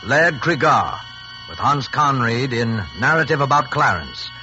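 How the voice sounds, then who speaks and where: A man's voice: mid-range voice, expressive, measured pace. Formal male radio announcer over music. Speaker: show announcer. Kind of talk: formal 1940s radio introduction. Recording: muffled 1940s radio with music.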